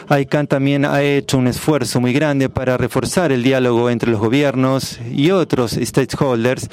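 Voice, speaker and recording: A man giving live interpretation of a minister's speech, steady and formal with occasional self-corrections. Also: mid-range voice, clear, resonant tone. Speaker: simultaneous interpreter.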